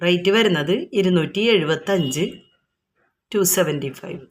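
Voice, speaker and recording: A woman's voice: fairly low pitch, normal speed. Kerala woman presenting products informally. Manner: unscripted, repetitive, explanatory. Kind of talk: informal product showcase, item by item with prices.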